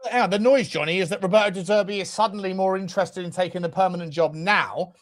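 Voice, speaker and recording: A man's voice: sits high, steady tone, quick pace. A man co-hosting a football fan show, bantering casually in a jokey, sweary way. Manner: jokey, sweary, puts on comic accents.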